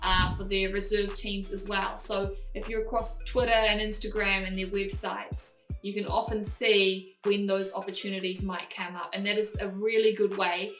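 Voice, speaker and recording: A woman's voice: mid-range voice, level tone, normal speed, hardly any pauses, fairly bright tone, faint music behind. A young woman with a New Zealand accent, hosting a vlog and giving direct, practical, step-by-step tips. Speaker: host. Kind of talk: casual advice vlog. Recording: roomy and a bit distant from the mic.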